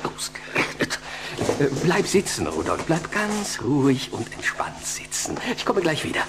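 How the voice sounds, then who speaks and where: A middle-aged male therapist in a children's radio drama. He coaxes in drawn-out phrases and takes excitable pride in his work. Clear noise and hum sit behind the voice. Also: a little high, exaggerated voice. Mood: flustered. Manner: hypnotic coaxing, drawn out. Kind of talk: German children's radio play acting.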